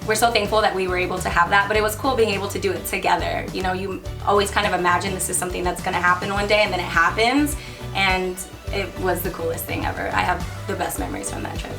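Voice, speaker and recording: A woman's voice: on the low side, fairly even intonation, quick pace. A woman in her twenties, a band member, chatting in an upbeat, talkative way. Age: 20s. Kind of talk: casual chat. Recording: distant mic with background music.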